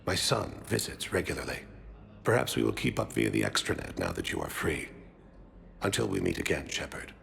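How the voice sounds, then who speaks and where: A man voicing an ailing, solemn alien in a video game, measured and formal, resigned to his fate, with a gravelly voice. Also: low voice, very animated, fairly bright tone, heavily acted. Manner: measured, formal, without contractions.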